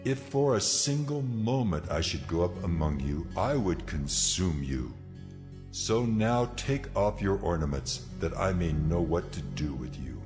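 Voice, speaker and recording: A man playing God as a stern, commanding deity, solemn and measured, in a dramatized audio Bible. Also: low voice, expressive. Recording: music behind the voice.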